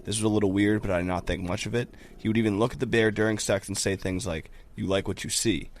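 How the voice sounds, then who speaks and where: A man's voice: lowish voice, steady tone, fast talker, slight background noise. A man on a podcast reading listener questions aloud in a casual style.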